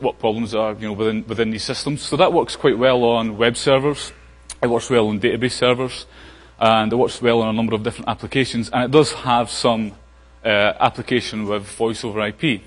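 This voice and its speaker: A man with a Scottish accent giving a casual technical conference talk, full of fillers and restarts. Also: mid-range voice. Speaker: conference speaker. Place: large conference hall. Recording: long hall reverb.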